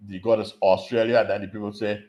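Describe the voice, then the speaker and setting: Man, low register, patient academic explainer, muffled call audio. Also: flat delivery, a crowd in the background. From Barbados. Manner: measured, explanatory, repeats words while thinking. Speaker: invited speaker, political scientist. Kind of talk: conference talk over video call.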